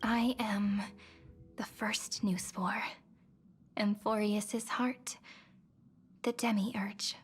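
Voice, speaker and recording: Gentle wistful young woman, game voice, breathy half-whisper, slow. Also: mid-range voice, fairly even intonation, heavily acted. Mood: tender. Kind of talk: game voice acting.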